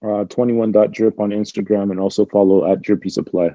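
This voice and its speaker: A man's voice: on the low side, little pitch movement, a little fast, warm tone, no room sound, lo-fi sound. A man chatting casually as a panelist on a podcast. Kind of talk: casual chat.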